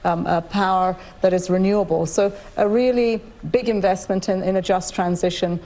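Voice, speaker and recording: A middle-aged woman from Nigeria speaks in a live television interview. She is earnest and measured, pausing with fillers and restarting her sentences. Her voice sits in an ordinary middle range. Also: mid-range voice, fairly even intonation, mellow. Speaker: guest, UN official. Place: studio, indoors.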